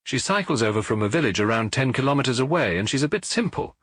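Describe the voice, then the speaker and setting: A man reading as a husky, matter-of-fact police officer. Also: medium pitch, lively intonation, fairly bright tone, dry recording, clean studio sound. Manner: measured, matter-of-fact reporting. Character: middle-aged male police officer giving a report. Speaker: audiobook reader. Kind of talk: audiobook dialogue reading.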